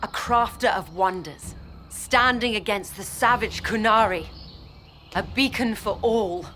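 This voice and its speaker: An actress playing a proud, idealistic mage lieutenant in a video game cutscene, speaking formally and grandly. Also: female voice, mid-range voice, a little slow, a bit bright, slight background noise, close to an everyday voice. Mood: fervent.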